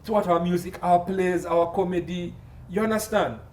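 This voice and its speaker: A man's voice: sits high, fairly even intonation, moderate pace. A man with a Ugandan accent speaking as an expert guest in an interview, emphatic and repeating phrases for stress. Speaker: guest, entertainment law consultant. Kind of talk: interview commentary. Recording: distant mic with faint background noise.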